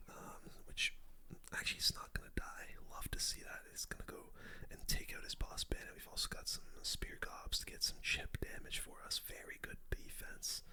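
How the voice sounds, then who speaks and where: Whispering ASMR gamer, bright and hushed. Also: normal speed. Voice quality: breathy, unvoiced whisper. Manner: casual, chatty gamer slang. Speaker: gaming video host. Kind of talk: ASMR whispered gameplay commentary.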